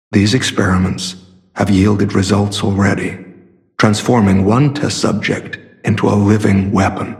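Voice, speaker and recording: A man playing a secretive, earnest masked warrior in a video game, speaking in a measured, formal and solemn way. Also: lowish voice, creaky. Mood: grave, resolute. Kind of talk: scripted game character dialogue.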